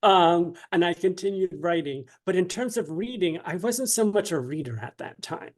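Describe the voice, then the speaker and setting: A man with a fairly high voice answers as a guest in a casual interview. He is mild-mannered and polite, speaking in a measured, slightly hesitant way. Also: lively intonation.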